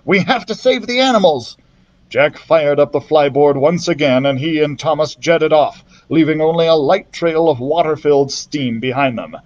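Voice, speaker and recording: A male librarian reading a children's adventure book aloud in a steady storytelling manner, with the odd slip. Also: mid-range voice, muffled recording. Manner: steady storytelling narration with small slips.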